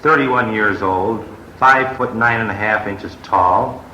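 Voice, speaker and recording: A man's voice: lowish voice, slow pace, bright, forceful tone. A man with an American accent giving a formal, matter-of-fact statement as a police detective captain. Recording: dull, echoey, noisy 1940s radio recording.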